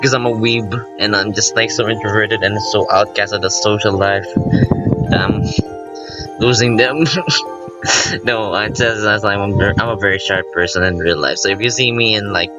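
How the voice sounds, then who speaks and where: A man with a fairly low voice chats informally through a visual novel let's play, with music clearly playing behind him. He rambles and interrupts himself in an excitable way, moving between reading the text and his own commentary. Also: moderate pace, low-quality recording. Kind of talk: casual rambling gameplay commentary with reading aloud. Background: visual novel game music.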